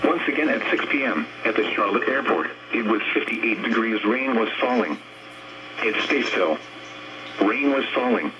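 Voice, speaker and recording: A synthetic American man's voice of average pitch delivers an impersonal, scripted weather report. It comes out of a radio speaker, buried in very loud noise, with a formal announcer's delivery. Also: expressive, normal speed, dull audio. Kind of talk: scripted weather bulletin reading. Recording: very noisy radio speaker playback.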